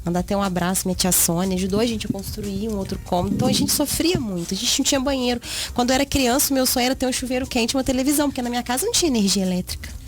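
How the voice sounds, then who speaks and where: Higher-pitched woman telling her story. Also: rapid-fire. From rural Minas Gerais, Brazil. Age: 30s. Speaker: interview guest. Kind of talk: personal life story told in conversation.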